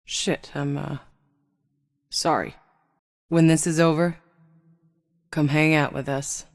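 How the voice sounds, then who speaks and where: A woman's voice: low-pitched, little pitch movement, takes it slowly, no room sound, studio quality. An actress playing a tough, sardonic woman mercenary in a video game, speaking in a wry, blunt, colloquial way. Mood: teasing, casual. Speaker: video game protagonist voice actor. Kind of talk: scripted game dialogue.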